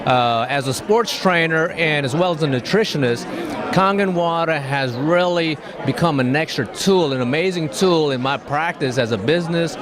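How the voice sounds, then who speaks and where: Measured male sports trainer from Louisiana. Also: on the high side. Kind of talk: testimonial.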